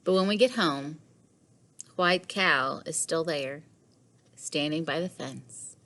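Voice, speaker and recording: A woman teacher reading a children's storybook aloud in a measured, animated way. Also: low-pitched. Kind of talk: expressive storybook read-aloud.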